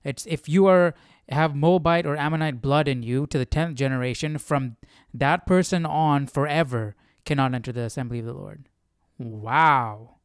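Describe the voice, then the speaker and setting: A man's voice: on the high side, moderate pace, smooth, no echo. Casual man thinking aloud, clean close sound. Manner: questioning, repeats and rephrases as he reasons. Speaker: livestream Bible study host.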